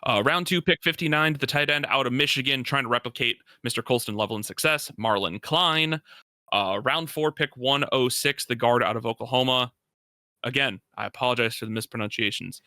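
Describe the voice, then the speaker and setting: Bright, forceful male sports podcast co-host. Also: medium pitch, a little fast, no echo, studio quality. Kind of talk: casual sports talk, analysis.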